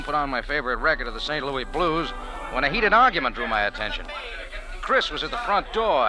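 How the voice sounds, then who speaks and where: A man's voice: medium pitch, close to an everyday voice, rough recording. A man playing a tough, sardonic American café owner in an old-time radio adventure, narrating and talking in dry slang. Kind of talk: first-person radio adventure narration and dialogue. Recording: vintage radio drama, music behind.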